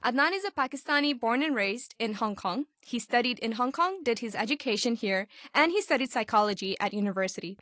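A woman's voice: a little high, lively intonation, brisk, hardly any pauses, a bit bright, no echo, studio quality. A woman hosting an interview, asking guiding questions in a friendly way. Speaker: host. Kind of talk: hosted interview.

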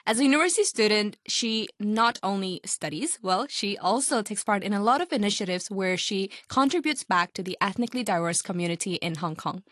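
A woman's voice: medium pitch, lively intonation, a little fast, hardly any pauses, a bit bright, no echo, clean studio sound. A woman hosting a community radio show, giving upbeat scripted introductions and interviewing a guest. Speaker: host.

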